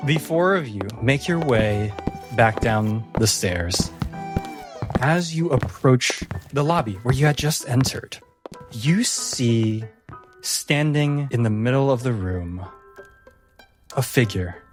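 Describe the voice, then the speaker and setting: Measured male game master over faint music. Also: medium pitch, animated. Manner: measured, deliberate storytelling with pauses. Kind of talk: dramatic tabletop game narration.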